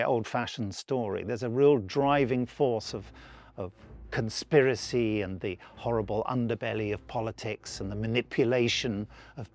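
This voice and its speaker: A man chatting enthusiastically in an interview as someone who makes audio dramas. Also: medium pitch. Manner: enthusiastic, acts out imagined quotes. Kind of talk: interview chat.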